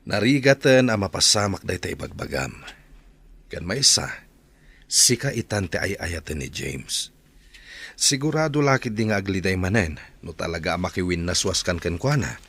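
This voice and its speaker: A man's voice: low voice, lively intonation, moderate pace, pauses often, a bit bright, slightly rough, heavily acted. A man with an Ilocano Filipino accent playing a loyal, concerned buddy in a radio drama. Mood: earnest. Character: loyal, concerned male friend pleading for his buddy.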